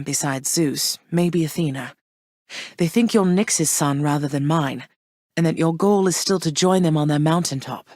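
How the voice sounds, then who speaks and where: A regal, low-voiced mother goddess. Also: darkish tone, no room sound, studio quality. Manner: measured, formal, archaic phrasing. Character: warm, firm, regal mother goddess, gently wry. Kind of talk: video game voice acting.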